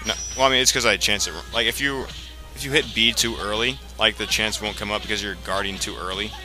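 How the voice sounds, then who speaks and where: A man giving casual, explanatory gameplay commentary in a halting way, as the host of a let's play. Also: on the low side, fairly even intonation. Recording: game music behind the voice. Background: video game music.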